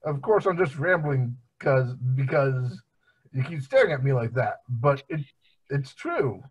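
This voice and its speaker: A man's voice: medium pitch, very animated, a little slow, breathy edge. A man voicing a dim, amiable family man, bumbling and rambling, in an amateur cartoon table read. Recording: muffled, lo-fi video call.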